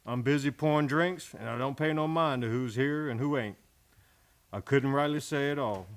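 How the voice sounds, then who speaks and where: A man's voice: mid-range voice, moderate pace, close to an everyday voice. A man acting a folksy, defensive Old West barkeeper in a radio play, dodging and explaining. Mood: evasive, defensive.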